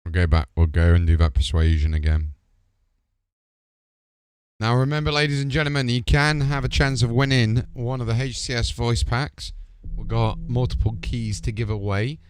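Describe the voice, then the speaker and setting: A man's voice: medium pitch, moderate pace, clear, resonant tone, no echo. A man giving casual, matey, off-the-cuff commentary as he livestreams a video game.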